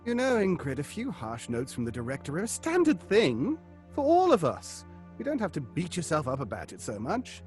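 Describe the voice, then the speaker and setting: A seasoned theatre man in an audio drama. He is reassuring yet cautious, with animated intonation over faint music. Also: a little high, moderate pace. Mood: reassuring, teasing. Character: kindly but superstition-wary male stage actor, a seasoned colleague. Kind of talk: dramatic audio fiction acting.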